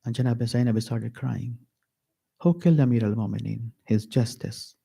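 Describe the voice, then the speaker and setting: A man giving a mourning sermon at an Islamic center, slow and emphatic, repeating key phrases with pauses. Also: mid-range voice, creaky, dark tone, breathy edge.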